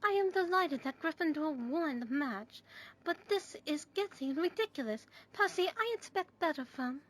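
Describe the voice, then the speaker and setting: A woman's voice: high-pitched, expressive, moderate pace. A woman playing a stern, exasperated older schoolteacher in an audio drama, formal and scolding. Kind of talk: fan audio drama acting.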